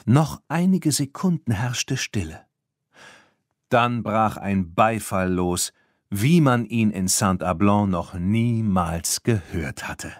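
A man narrating a children's audiobook, reading the scripted story in an expressive storytelling manner. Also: on the low side, takes it slowly, no room sound, clean studio sound. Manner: expressive storytelling with voiced dialogue.

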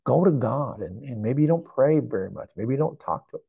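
A man's voice: medium pitch, lively intonation, fairly quick, gravelly, slightly rough, no room sound, narrow, tinny audio. A man in his sixties preaching a sermon to camera in a church, earnest and reflective, often repeating phrases. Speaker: pastor. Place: indoor church sanctuary.

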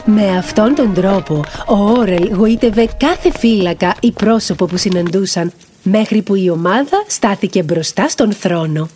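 A woman narrating a children's fairy tale in an expressive storytelling manner, addressing the listeners. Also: medium pitch. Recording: music bed behind the voice.

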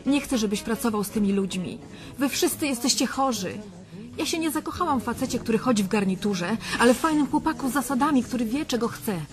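A woman's voice: fairly high pitch, expressive, fast talker, over music, natural voice. An actress playing a principled, indignant young woman in a radio drama, reproachful and emphatic. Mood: indignant, pleading. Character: indignant, principled young woman scolding her banker date.

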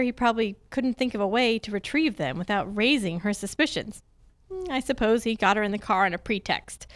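Woman acting a wry lady detective, higher voice. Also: natural voice. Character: wry, confident female private detective, Candy Matson. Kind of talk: old-time radio drama acting, read from script. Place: on a stage, live performance. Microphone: handheld-style microphone on a stand.

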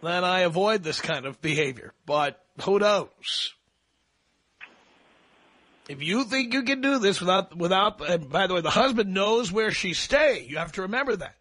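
A man's voice: high voice, lively intonation, moderate pace, pushed and bright. A man hosting a call-in radio show, blunt and pragmatic with a dry, joking manner. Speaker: radio talk show host.

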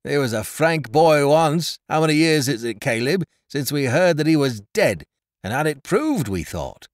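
An honest carrier in a Victorian storybook as a male narrator plays him, hurt and indignant. He reproaches with wide swings of pitch. The recording is dry. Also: very animated, normal speed, no echo.

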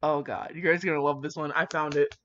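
A woman's voice: low-pitched, fairly even intonation, fast, fairly bright tone, a little hiss or hum. A woman rambling casually with dramatic asides, brash, slangy and full of swearing as she comments on fan fiction. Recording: dull lo-fi microphone.

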